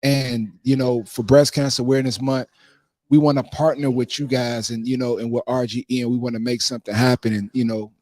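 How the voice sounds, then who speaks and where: A man's voice: medium pitch, flat delivery, fairly quick, darkish tone. A man being interviewed casually as a playwright and producer, talking informally and punctuating his thoughts with "man" and "you know".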